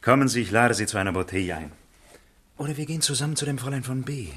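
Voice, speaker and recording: An actor playing a cynical, world-weary gentleman in a literary radio play, confiding and mocking in a theatrical way. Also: medium pitch, fast, husky. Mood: eager, confiding.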